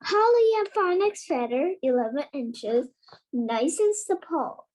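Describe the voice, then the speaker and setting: A child voicing an eccentric old wandmaker from a storybook, precise, formal and stern, with halting delivery. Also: high-pitched, big pitch swings, darkish tone, poor audio. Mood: absorbed, exacting.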